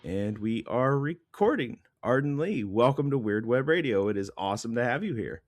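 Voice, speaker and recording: A man's voice: mid-range voice, animated, normal speed, a little hiss or hum. A man hosting a casual interview podcast in an informal way, his voice stuffy and congested. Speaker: podcast host.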